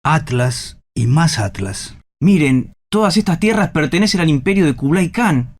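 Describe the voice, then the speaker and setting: Actor as awed, declamatory guide. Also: male voice, fairly high pitch, very animated, unhurried. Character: awed guide surveying an emperor's maps and gardens. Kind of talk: literary radio drama acting.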